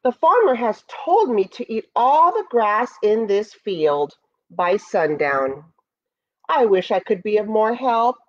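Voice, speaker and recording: Big timid storybook bull woman reader, courteous excuse-maker, animated delivery. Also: medium pitch, slow pace, highs cut off. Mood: apologetic, hurried. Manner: apologetic. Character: big fearful bull, politely making excuses. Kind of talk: children's storybook character reading.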